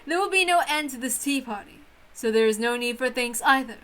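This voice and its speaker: A woman's voice: high-pitched, lively intonation, brisk, fairly bright tone. A woman voicing a grand, possessive witch in formal, theatrical speech, lonely and insistent, as in a visual novel reading. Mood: grandly amused.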